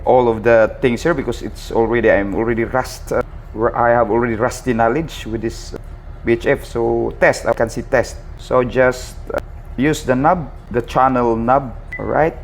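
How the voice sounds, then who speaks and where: Filipino man demonstrating equipment over background noise. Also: medium pitch. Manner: halting, repetitive, addresses viewers casually. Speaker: seaman presenting a tutorial. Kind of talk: informal hands-on equipment demonstration. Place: ship's bridge. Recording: clear ambient background noise.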